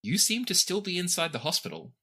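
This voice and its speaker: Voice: medium pitch, big pitch swings, brisk, bright, forceful tone, breathy edge. A game master narrating a tabletop fantasy game in a conversational, descriptive way, with casual asides.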